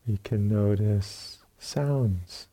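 A man leading a guided meditation as a teacher, speaking in gentle, unhurried phrases with long pauses between them. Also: on the low side. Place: retreat center meditation hall.

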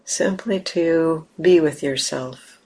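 Very slow, low-voiced woman guiding meditation. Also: slightly rough. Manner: gentle, unhurried, with long pauses. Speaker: meditation teacher. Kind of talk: guided meditation. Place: meditation center.

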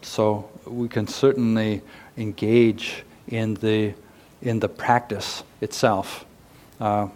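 A man who is a Buddhist monk, speaking on a discussion panel in a slow, thoughtful way. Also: on the low side, level tone, a little mellow.

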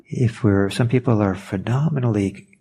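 A man whose first language is Norwegian speaks in a low, dark, gravelly voice. He gives a quiet spiritual talk on listening. He pauses often and searches for his words. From Norway. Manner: thoughtful, hesitant, searching for words.

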